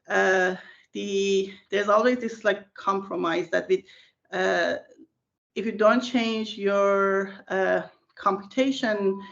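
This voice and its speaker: A woman's voice: mid-range voice, creaky, a bit bright, muffled recording. A woman whose first language is Persian, a research scientist answering interview questions in a thoughtful way with many fillers and restarts, heard over a video call.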